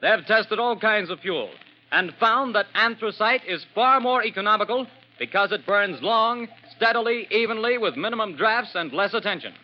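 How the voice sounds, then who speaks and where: A male announcer reading a 1940s radio advertisement in an emphatic, deliberate salesman's delivery. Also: high-pitched, lively intonation. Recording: muffled vintage radio recording.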